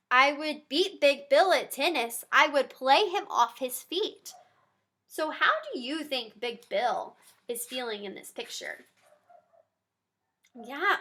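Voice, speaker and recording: A woman, a pediatric therapist, reading a picture book aloud to young children and talking directly to them. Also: sits high. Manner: animated, talks directly to young listeners.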